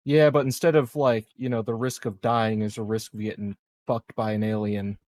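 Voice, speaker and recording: A man's voice: lowish voice, flat delivery, normal speed, darkish tone. A man playing a tabletop roleplaying game, improvising casual in-character dialogue, hesitant, repeating words and trailing off.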